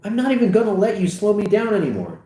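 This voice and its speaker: A man's voice: on the high side, very animated, takes it slowly, dark tone, voice pushed up. A man voicing a fed-up, sarcastic teenage boy with an indignant, cutting delivery. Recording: echoey room.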